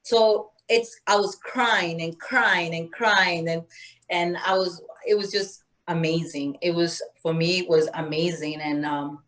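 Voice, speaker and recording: A woman's voice: lowish voice, measured pace. A woman from Peru, a guest telling her story in an earnest, spiritual, conversational way. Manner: earnest, spiritual, animated, acts out quoted voices.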